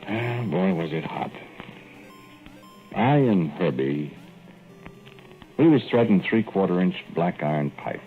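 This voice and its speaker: An actor playing a talkative older ex-plumber, spinning a folksy, rambling yarn in 1940s radio drama style. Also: male voice, low voice, expressive, slow, long pauses. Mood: reminiscing. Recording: tinny old radio broadcast with faint music.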